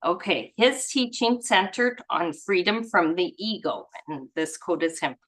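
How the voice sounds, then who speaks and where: A woman with a slightly low voice speaks at a very unhurried pace. She leads a study group, moving between reading aloud and casual commentary of her own. Her way of talking is informal, effusive and reflective. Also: animated, a bit bright. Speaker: reader and study group leader.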